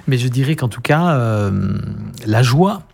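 A man, a pianist, speaking as a guest in a talk, halting and reflective with many restarts. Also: mid-range voice, expressive, gravelly, a little mellow. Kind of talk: conversational radio talk.

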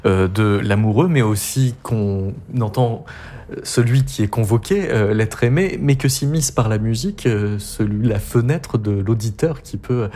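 A male radio host asking measured questions, with frequent hesitations. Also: on the low side, dark tone. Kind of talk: cultural radio interview.

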